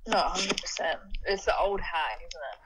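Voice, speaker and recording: A woman's voice: lowish voice, moderate pace, fairly bright tone, husky. Australian woman chatting over the phone. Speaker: prank call recipient. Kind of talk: casual phone conversation. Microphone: telephone. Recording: phone call played into studio, chatter behind.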